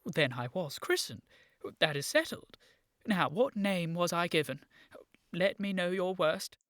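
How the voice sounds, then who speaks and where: A woman playing an earnest, excitable gentleman in a period radio comedy, formal and urgent with an agitated stammer. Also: lowish voice, measured pace, dry recording, clean studio sound. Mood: anxious, resolute. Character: earnest, excitable young gentleman seeking his origins.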